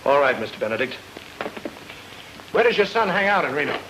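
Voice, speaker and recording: An actor playing a cool, wisecracking private detective with a dry, clipped delivery in an old radio noir. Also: male voice, a little high, very animated, brisk, fairly bright tone, a little hiss or hum, rough recording. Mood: businesslike.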